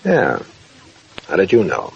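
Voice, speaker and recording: Guarded, moneyed husband, low and husky, terse measured delivery, vintage radio drama. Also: male voice, huge pitch swings, heavily acted. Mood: worried, terse. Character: gruff, guarded, wealthy married businessman with mistress. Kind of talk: mid-century radio drama acting. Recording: dull old radio recording.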